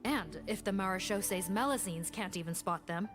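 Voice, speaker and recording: A woman's voice: medium pitch, moderate pace, music in the background, natural voice. A woman playing a serious, dutiful law officer in a video game, speaking formally. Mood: frustrated, serious.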